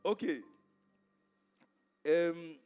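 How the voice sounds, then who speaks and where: A man from Guadeloupe with a Guadeloupean accent, whose first language is Creole, greeting a congregation as guest preacher in a halting way with many fillers. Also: fairly high pitch, fairly even intonation, darkish tone, clean tone, soft background music. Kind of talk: informal welcome before a sermon. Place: church service.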